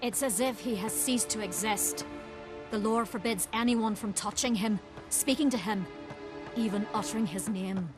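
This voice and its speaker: A woman's voice: on the high side, moderate pace, lightly acted. Woman as stern, solemn island priestess. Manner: grave, storytelling. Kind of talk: video game cutscene acting. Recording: loud game music under the voice.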